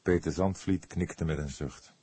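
A man's voice: rumbling low, measured pace, mellow, breathy edge, no room sound. Een man die als luisterboekverteller een literaire tekst voorleest. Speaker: audiobook narrator. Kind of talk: scripted literary narration.

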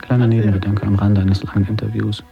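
A man acting a reflective adventurer who gives his private opinions in a measured radio drama monologue. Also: fairly low pitch, flat delivery. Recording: dull sound under clear music.

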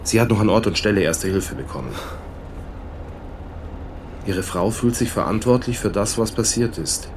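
An actor playing a sober police lieutenant in a German radio play, questioning in a matter-of-fact way. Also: male voice, on the low side, moderate pace, pauses often, a little mellow, slight background noise, natural voice. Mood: sober, factual.

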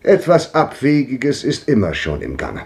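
A man's voice: medium pitch, wildly swooping pitch, measured pace, darkish tone. An actor playing an old man who muses philosophically in a ponderous, declamatory way, in a 1950s radio drama. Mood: musing.

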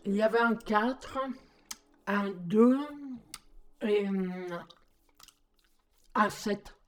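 A slow, medium-pitched, hushed voice from inside a girl's head in an audio drama. It is brooding and deliberate, placing each word with care. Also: steady tone. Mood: hesitant recall. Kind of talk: audio drama character acting.

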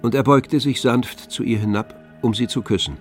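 A man narrating a literary radio drama in a measured, composed storytelling manner. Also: mid-range voice, steady tone, soft background music. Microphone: close, dry studio microphone.

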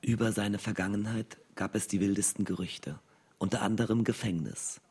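An actor playing a secretive man who relates dark rumours in a radio play, with a very husky, breathy voice. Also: on the low side, steady tone, normal speed. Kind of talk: scripted radio play narration.

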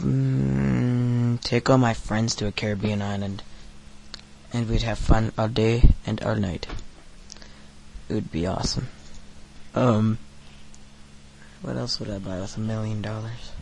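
A teenage boy hosts a homemade podcast in a low, flat voice, rambling through fillers and swearing freely. A faint hiss sits under the recording. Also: creaky, low-quality recording. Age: teens. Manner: crude, profane, rambling with many fillers. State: recovering from hoarseness. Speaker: podcast host. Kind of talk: casual solo podcast rant.

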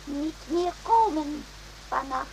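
A woman playing a soft-spoken, cryptic servant in a radio play, speaking in halting, broken sentences. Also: sits high, lively intonation, exaggerated voice. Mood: meek, evasive. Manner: halting, broken grammar in short phrases. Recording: noisy old radio play recording.

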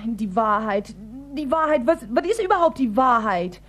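A woman's voice: on the high side, animated, moderate pace, slight background noise, natural voice. A woman playing a flustered young woman in a radio drama, pleading, evasive and stammering. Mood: evasive, coaxing. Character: flustered, coaxing young woman caught in a love affair. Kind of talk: radio play dialogue.

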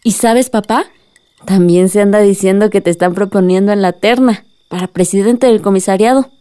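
A woman's voice: medium pitch, normal speed, warm tone, clear, resonant tone, close to an everyday voice. A woman playing a cheerful young village woman with a Mexican accent in a radio soap opera, shy around her sweetheart. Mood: calm.

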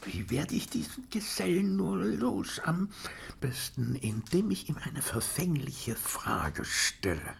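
A sly, grumbling dwarf smith in a fantasy audio drama. He mutters and hesitates suspiciously, with a very mobile pitch and a drawn-out pace. The voice sits in an echoey room. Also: very animated, creaky, heavily acted. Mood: scheming. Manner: hesitant, muttering, with stammered hums. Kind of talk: fantasy radio drama acting. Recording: roomy echo with faint noise.

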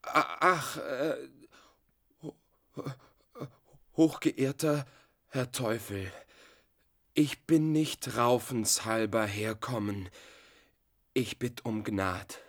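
A craven, bumbling huntsman in a radio drama. He drags his words out very slowly at a middling pitch, stammering and groveling his way through excuses. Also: fairly bright tone. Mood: frightened pleading. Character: cowardly bumbling huntsman impostor, stammering and pleading. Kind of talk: radio play acting.

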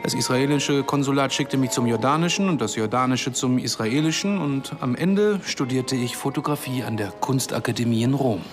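An actor playing a dryly ironic man in his early forties, telling his story in a measured way in a German radio drama. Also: mid-range voice, music in the background, close to an everyday voice. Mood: matter-of-fact. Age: 40s.

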